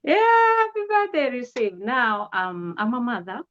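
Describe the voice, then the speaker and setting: A woman in her forties, a pastor, speaking earnestly and gratefully in an interview, pausing often on "uh". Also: fairly high pitch, very animated, fairly bright tone. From Kenya. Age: 40s. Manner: earnest, grateful, measured with frequent "uh" pauses. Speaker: pastor and guest. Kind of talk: testimony interview. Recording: dull lo-fi audio.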